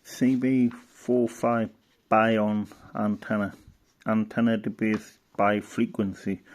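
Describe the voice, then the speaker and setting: A man giving casual, unscripted hobbyist commentary, halting and muttering as he browses, often trailing off. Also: on the low side, a little mellow. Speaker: hobbyist presenter.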